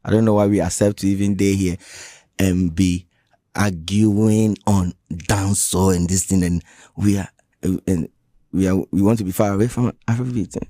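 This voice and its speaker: A man's voice: deep voice, fairly even intonation, normal speed, mellow, no room sound, studio quality. A man with a Ghanaian English accent, a musician in a radio interview, answering defensively with many restarts. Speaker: musician guest. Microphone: radio studio mic.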